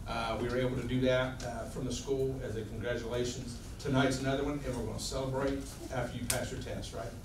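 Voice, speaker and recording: A man's voice: mid-range voice, flat delivery, fast talker, few pauses. Man, informal presenter, distant and roomy sound. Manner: informal, asks the audience for confirmation. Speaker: school administrator presenting students. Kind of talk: public speech at a board meeting. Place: large meeting room. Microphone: far camera. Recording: echoey room, distant camera, background noise.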